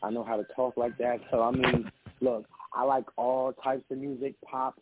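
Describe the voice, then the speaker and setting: A man's voice: mid-range voice, level tone, normal speed, breathy edge, dry recording. A man from the Bronx, an R&B singer chatting earnestly and casually as a guest, often saying "you know what I'm saying". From the Bronx, New York. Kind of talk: casual interview chat. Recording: tinny phone-like line, faint noise.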